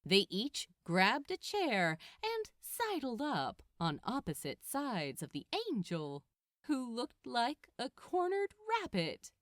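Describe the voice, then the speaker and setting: A woman reading a story aloud in an expressive storybook narration style. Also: on the high side, slow pace, no room sound, studio quality. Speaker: fan fiction narrator.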